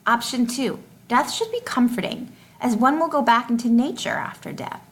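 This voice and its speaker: A woman reading test questions and answer options aloud as a teacher, deliberately and with each option set clearly apart. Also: on the high side, animated.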